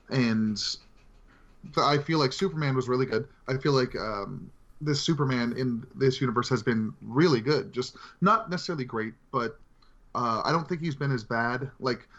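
A man's voice: medium pitch, fairly bright tone. A man co-hosting a casual podcast, talking conversationally about movies and his opinions of them. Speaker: podcast co-host. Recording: slightly dull lo-fi audio.

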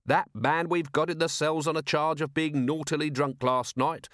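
A man voicing a self-assured, sardonic city watch commander in an audiobook, calm, wry and needling. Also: fairly high pitch, normal speed, no room sound, studio quality, voice pushed up, close to an everyday voice. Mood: mock puzzled.

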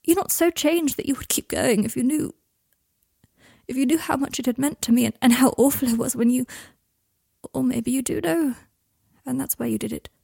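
A heartbroken young man, proud and wounded, his voice climbing high as he fights back tears, as a woman reads him. The tone is breathy and close. The sound is dry and near. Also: normal speed, dark tone, no echo, exaggerated voice. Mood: anguished. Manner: pleading, voice rising, close to tears.

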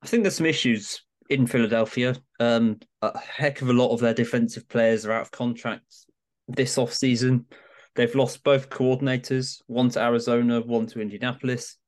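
A man with a medium-pitched voice talks as a guest pundit on a sports podcast. His delivery is conversational and analytical, like an informal chat. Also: steady tone, moderate pace.

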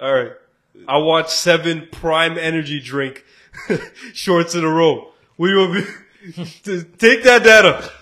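A young man co-hosting a casual podcast, loud and joking, swearing freely as he riffs. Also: a little high, slow pace. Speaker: podcast co-host. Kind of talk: casual banter.

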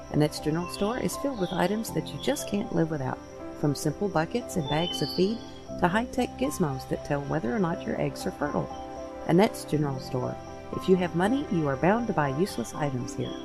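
An actress plays a mock radio commercial announcer in an audio drama. Her slightly low, creaky voice delivers a tongue-in-cheek scripted pitch over clear background music.

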